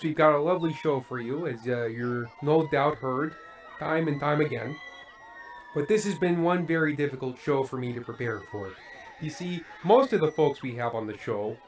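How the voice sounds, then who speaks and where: A man playing an earnest, disgruntled late-night variety host in a scripted radio play, deliberate and emphatic. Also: a little high, a faint crowd, lightly acted. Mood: welcoming showman.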